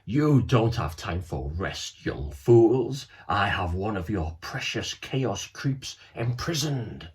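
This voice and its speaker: A pompous evil villain from a children's storybook, gloating in a slow, booming voice, as a man puts him on. The voice sits low, while the pitch still swoops about theatrically. He schemes and drawls each threat. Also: big pitch swings.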